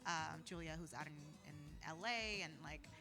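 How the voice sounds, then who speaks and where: A woman's voice: sits low, moderate pace, creaky, over music. A woman speaking earnestly as a community organizer and guest in a casual podcast conversation, often dropping in "you know" and "like".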